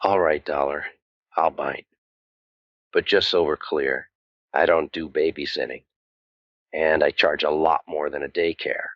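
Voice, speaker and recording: An actor playing a cynical, wisecracking waterfront private eye, narrating old-time radio noir in a deadpan, world-weary manner. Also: male voice, rumbling low, a little slow, pauses often, dry recording, dull audio. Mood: sardonic. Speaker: narrator and lead detective.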